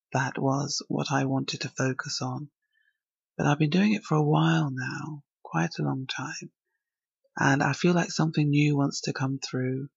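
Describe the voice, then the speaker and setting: Woman from england musing on her podcast, gravelly and a bit husky, dull lo-fi sound. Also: very deep voice, dry recording. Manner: reflective, thinking aloud. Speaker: podcast host. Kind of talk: solo podcast monologue.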